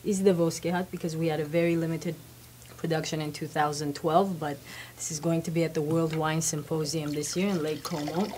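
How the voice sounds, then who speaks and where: A woman's voice: low-pitched, steady tone, brisk, darkish tone, slight background noise. A woman in her thirties from Armenia, a winery guest explaining grapes and history in a poised, informative way. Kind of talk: interview guest. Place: wine shop studio set, indoors.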